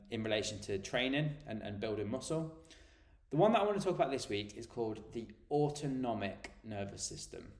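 A man's voice: on the low side, echoey room. Chatty man with a stuffy cold. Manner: chatty, explains things plainly and informally. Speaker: health and nutrition coach. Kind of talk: solo podcast monologue.

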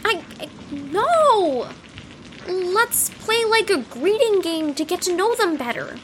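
A woman's voice: very high-pitched, big pitch swings, takes it slowly, a little hiss or hum, heavily acted. A woman playing a chatty, eager, slightly flustered fifteen-year-old girl in an audio drama.

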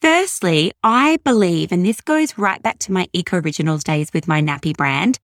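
A middle-aged woman hosting a podcast, upbeat, affectionate and a bit salesy, with a voice gone husky from overuse. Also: on the low side, lively intonation, normal speed, dry recording, clean studio sound. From Australia. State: hoarse from overuse. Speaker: podcast host. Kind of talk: podcast monologue.

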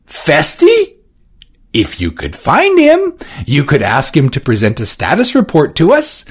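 Man voicing a formal, careful manager type, raised pitch, husky edge. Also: wildly swooping pitch, moderate pace, full character voice.

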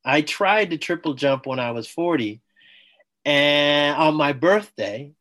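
A man, a former athlete, talking as a guest on an interview podcast, telling his story with enthusiasm in quick bursts. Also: a little high, lively intonation, a bit bright. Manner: enthusiastic, talks in bursts, acts out quoted dialogue.

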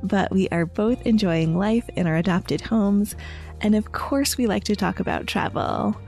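An American woman hosting a podcast, introducing and interviewing a guest in a friendly, measured way. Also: mid-range voice, gravelly, a little mellow, soft background music. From United States. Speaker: podcast host.

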